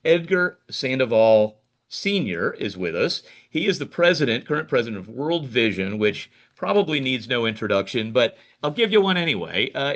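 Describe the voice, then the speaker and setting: A man's voice: medium pitch, a bit bright, muffled recording. A man hosting a podcast interview, chatting casually and rambling with many restarts. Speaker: podcast host.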